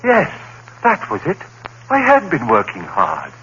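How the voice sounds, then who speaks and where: An actor playing a frightened, reason-bound professor in a 1940s-style radio play, narrating in a dramatic, brooding way. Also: male voice, mid-range voice, wildly swooping pitch, measured pace, bright, forceful tone, heavily acted. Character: haunted male professor, rational and frightened. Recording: dull old radio broadcast with faint hiss.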